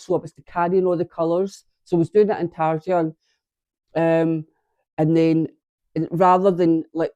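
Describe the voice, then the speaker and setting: A woman's voice: fairly low pitch, mellow, dry recording. A woman chatting casually to camera at home about her knitting, a bit congested from sinus trouble, rambling and breaking off mid-sentence. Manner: chatty, rambling, self-interrupting. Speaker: knitting vlogger. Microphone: camera on a tripod.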